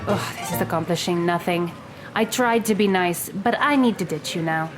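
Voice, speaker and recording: A woman's voice: lowish voice, normal speed, music in the background, lightly acted, low-quality recording. A woman playing a cold, sarcastic thirteen-year-old girl in an audio drama, with a dry, curt delivery. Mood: dry, detached.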